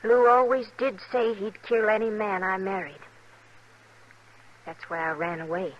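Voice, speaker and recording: An American actress playing an earnest, troubled young wife confiding in a 1950s radio western. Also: female voice, mid-range voice, steady tone, unhurried, lightly acted. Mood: confessional, troubled. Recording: muffled vintage 1950s radio recording.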